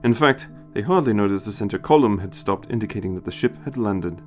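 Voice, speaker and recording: A man narrating a scripted story in an expressive storytelling style. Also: on the low side, brisk. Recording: music behind the voice. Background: music.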